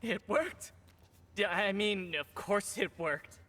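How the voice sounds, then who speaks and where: A man's voice: sits high, slow, sharp tone, a little hiss or hum, heavily acted. An actor playing a boastful, slightly flustered male scientist in a video game, with self-assured patter. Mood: eager. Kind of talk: video game cutscene acting. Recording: music under the voice.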